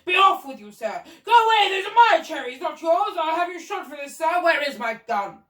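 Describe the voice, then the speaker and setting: A woman's voice: high-pitched, expressive, measured pace, pitched above the performer's own voice. Woman as a blustering, bellowing old male Duke. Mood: furious. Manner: bellowing, pompous, indignant upper-class exclamations. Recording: roomy echo, distant from the mic.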